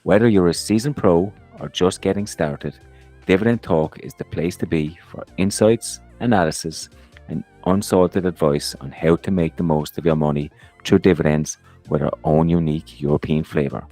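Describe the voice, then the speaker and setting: A man's voice: sits low, moderate pace, mellow, soft background music. A man co-hosting a podcast. He reads a scripted intro, then leads the interview in an easygoing, apologetic way. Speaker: podcast co-host.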